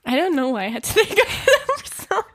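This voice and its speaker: A woman's voice: extremely high pitch, very animated, a little fast, fairly bright tone, no room sound. A young woman from German-speaking Switzerland, whose first language is Swiss German, co-hosting a podcast in casual, chatty talk with frequent laughs. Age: young adult. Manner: chatty, laughs while talking, many fillers. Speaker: podcast co-host. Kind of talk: casual chat.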